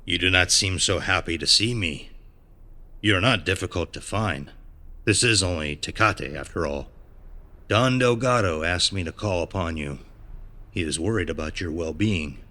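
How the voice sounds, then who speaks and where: Man playing a deep-voiced, menacing cartel debt collector. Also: pushed and bright. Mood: smooth menace. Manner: slow, mock-concerned, formally polite, menacing. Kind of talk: audio horror drama acting.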